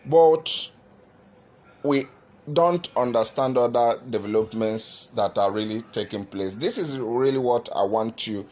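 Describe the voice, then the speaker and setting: A man talking to viewers on a live stream, earnest and halting, with many fillers and restarts. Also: medium pitch, a little mellow. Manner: earnest, addresses viewers directly.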